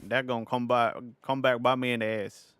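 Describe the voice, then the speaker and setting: A man from the United Kingdom streams in a put-on Southern cowboy drawl at a middling pitch. He rambles playfully and self-consciously to his chat, swearing freely. The sound is close and dry. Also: flat delivery, fairly quick, fairly bright tone, clear, resonant tone, no room sound. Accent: put-on American Southern cowboy drawl. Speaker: livestreamer talking to chat. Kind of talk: casual livestream chat.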